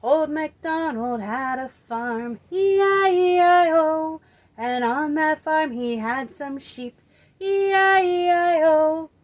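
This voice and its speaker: Storytime presenter: woman, high voice, unhurried, playful and expressive for young kids. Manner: playful, expressive, drawn-out emphasis. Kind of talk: children's storytime singing and storytelling.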